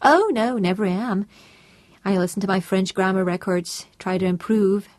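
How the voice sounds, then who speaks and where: A woman's voice: mid-range voice, moderate pace, a little mellow, no echo, clean studio sound, close to an everyday voice. A woman narrating an audiobook voices an earnest, chirpy American housewife with a sing-song American accent. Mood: chatty.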